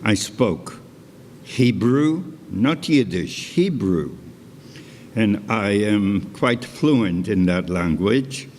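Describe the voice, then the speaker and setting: An older man born in Poland, speaking English, tells his own life story on stage to a live audience in a slow, deliberate way, with chatty asides. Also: on the low side, expressive, darkish tone, slight background noise. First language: Polish. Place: concert hall stage. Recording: live hall recording with long reverb.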